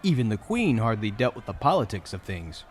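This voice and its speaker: A man's voice: fairly low pitch, very animated, a little fast, slight background noise. A man narrating a scripted fantasy audio drama in a dramatic storytelling style. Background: music.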